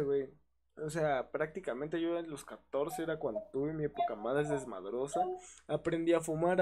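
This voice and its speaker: A young man with a Mexican accent chats on a livestream. He talks in a casual, slangy and joking way at a middle pitch. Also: dark tone. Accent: Mexican Spanish. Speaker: podcast co-host. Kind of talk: casual chat.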